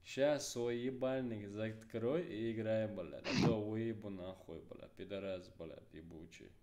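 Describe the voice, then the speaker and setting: Man in his twenties, from Chechnya, game streamer, mutters low, unhurried pace, swears a lot. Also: steady tone, a little mellow, slight background noise. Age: 20s. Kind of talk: casual gameplay commentary.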